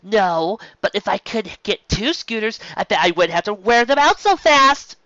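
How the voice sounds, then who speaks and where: A narrator voicing a brash, energetic young tomboy filly pony with a pushy, childlike way of talking in a storybook reading. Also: medium pitch, normal speed, dry recording, dull audio, voice pushed up, lightly acted. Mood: brash, eager.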